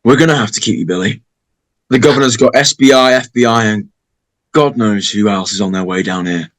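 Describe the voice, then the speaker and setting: A man playing a stern, weary middle-aged small-town sheriff in an amateur script read, gruff and measured. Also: on the low side, close to an everyday voice.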